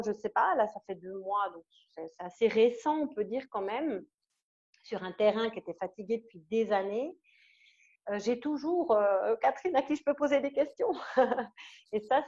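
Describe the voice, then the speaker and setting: A woman from Switzerland with a Swiss French accent, giving an enthusiastic, halting testimonial full of fillers and restarts. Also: fairly high pitch, warm tone, highs cut off. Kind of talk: casual testimonial interview.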